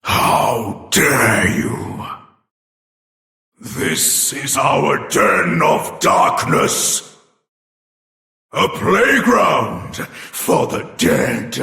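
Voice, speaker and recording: A man's voice: high-pitched, vocal fry, pushed and bright, exaggerated voice. A man voicing an outraged undead monster in a video game, menacing and theatrical, drawing out his shrieked threats. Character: outraged undead male monster guarding a den of darkness. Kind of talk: scripted video game villain dialogue. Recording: strong roomy echo.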